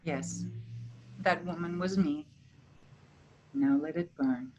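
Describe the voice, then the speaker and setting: A woman playing a tender, resolute lost beloved in a staged play reading, earnest, gentle and deliberate. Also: low-pitched, highs cut off, slight background noise.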